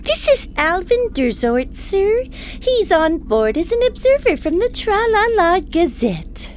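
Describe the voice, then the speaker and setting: An actress playing a long-suffering alien ship's officer in a comedic audio drama, dry, sarcastic and wearily officious. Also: female voice, very high voice, very animated, measured pace, slight background noise, exaggerated voice. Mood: sardonic.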